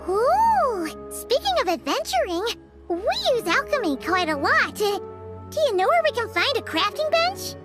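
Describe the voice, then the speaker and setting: Tiny game fairy sidekick, extremely high squeaky voice, chirpy, very swoopy intonation, chatty, fretful. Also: female voice, big pitch swings, measured pace, exaggerated voice. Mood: curious. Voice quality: squeaky, childlike. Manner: chirpy, refers to herself in third person. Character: small childlike floating fairy companion, chatty, perky, fretful. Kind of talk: video game cutscene dialogue. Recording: music playing behind the voice.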